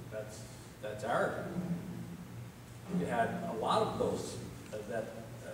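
An older man speaks at a town hall in a frustrated, conversational way full of fillers. His voice sits low and is caught from far off. The hall rings with long reverb. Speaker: state legislator. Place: town hall meeting room. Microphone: far room camera. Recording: echoey hall, distant mic, noisy.

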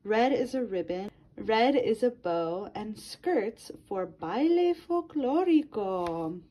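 A woman's voice: medium pitch, animated, takes it slowly, dark tone. A woman reading a picture book aloud to children in a sing-song manner, addressing her young listeners directly.

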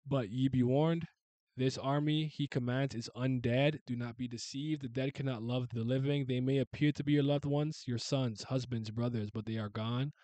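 A man voicing proud, quarrelling male gods in archaic speech, slow and declaiming. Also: medium pitch, steady tone, mellow, no room sound, close to an everyday voice. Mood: solemn. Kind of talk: reading a comic aloud in voices.

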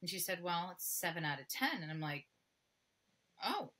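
A woman's voice: lowish voice, sing-song, normal speed, sharp tone, husky. A middle-aged woman talking candidly as a guest expert in a podcast interview over a video call, often acting out bits of dialogue. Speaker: guest expert, pelvic floor physical therapist. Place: indoor room, video call.

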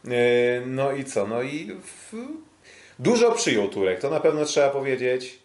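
A man hosting a casual sports podcast, talking in a hesitant, halting way. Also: medium pitch, very animated, darkish tone. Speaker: podcast host. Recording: roomy, echoing sound.

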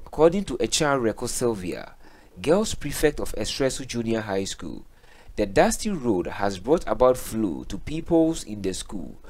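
Male, Ghanaian accent, low voice, animated intonation, scripted news narration. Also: normal speed. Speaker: news reporter.

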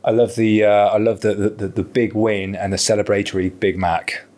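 A man's voice: lowish voice, little pitch movement, a little fast, darkish tone. A man hosting a podcast, asking casual questions and searching for his words. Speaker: podcast host. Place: podcast studio, indoors.

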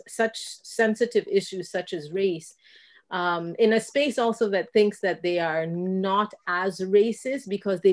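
A middle-aged woman from Kenya, a dancer talking as a guest on a video call from a home room, reflective and animated, acting out the exchanges she recounts. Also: medium pitch. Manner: reflective, animated storyteller who acts out quoted exchanges. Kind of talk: casual conversation on a video call. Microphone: video call, laptop mic.

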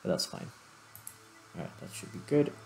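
A man giving casual gameplay commentary, thinking aloud in short, unhurried phrases. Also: faint music behind. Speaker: gaming YouTuber, player commentating.

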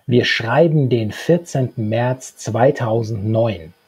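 A man in his thirties with a husky voice, talking as a podcast co-host. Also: medium pitch, slow pace, a little mellow. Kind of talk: podcast talk, partly read summary. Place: small book-lined room at home. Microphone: handheld-style recorder microphone close up, earphones.

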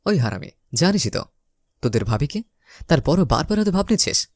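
A man's voice: a little high, big pitch swings, fairly quick, dry recording, clean studio sound. A young man narrating a romantic comedy story in the first person, theatrically acting out the lines. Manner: theatrical, acts out his lines.

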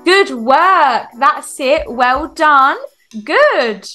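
A woman's voice: high-pitched, expressive, extremely slow, sharp tone, soft background music, exaggerated voice. A woman playing a firm, encouraging children's swimming coach in an improvised game roleplay. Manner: instructing, encouraging. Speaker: video creator playing a swim coach.

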